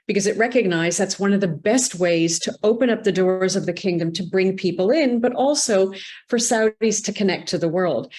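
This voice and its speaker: A Canadian woman speaking as a guest on an interview podcast, talking in a measured, thoughtful way and stressing key words. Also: fairly low pitch, darkish tone. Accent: Canadian English.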